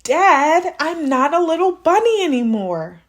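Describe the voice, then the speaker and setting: A woman's voice: extremely high pitch, very animated, unhurried, pitched above the performer's own voice, exaggerated voice. A woman voicing an eager, insistent little boy rabbit, pleading and defiant, in a children's storybook.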